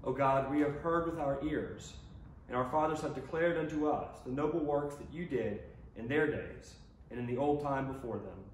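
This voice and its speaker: A man officiating a church service, reading prayers and psalms in a measured, solemn way. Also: mid-range voice. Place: inside a church sanctuary by the altar. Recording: roomy, distant mic with faint noise.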